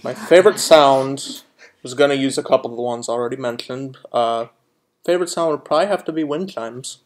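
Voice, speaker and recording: A man's voice: medium pitch, brisk. Young man student, offhand intro. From Florida. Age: young adult. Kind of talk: casual self-introduction. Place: classroom. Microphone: laptop webcam.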